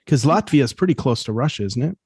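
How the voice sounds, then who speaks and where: A man's voice: mid-range voice, expressive, fast talker, a little mellow, breathy edge. A man co-hosting a podcast interview, chatting casually in a rambling, apologetic way that often trails off. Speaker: podcast co-host.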